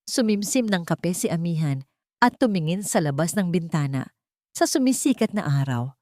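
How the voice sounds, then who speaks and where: Low-voiced Filipino woman, expressive story narrator. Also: moderate pace, dry recording, clean studio sound. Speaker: audiobook narrator. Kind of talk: scripted dramatic story narration.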